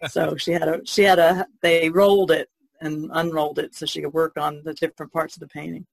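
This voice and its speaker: A woman's voice: deep voice, level tone, brisk, vocal fry, no room sound. A woman chatting casually as a podcast panelist, in a hesitant, rambling way. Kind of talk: casual chat.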